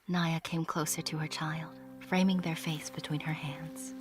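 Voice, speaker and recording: A woman's voice: low voice, unhurried. A woman playing a small, proud winged secret agent who narrates an audio drama expressively and earnestly. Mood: tender. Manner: expressive, self-important storytelling. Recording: music behind the voice.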